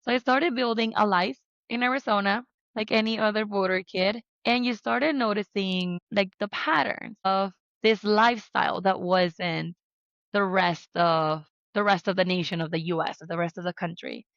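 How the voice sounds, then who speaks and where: A woman's voice: medium pitch, moderate pace, a bit bright, dry recording. A young woman from Mexico, a podcast guest telling her life story in an earnest way with questions to herself. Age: young adult. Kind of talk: interview conversation. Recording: dry, slightly dull lo-fi sound.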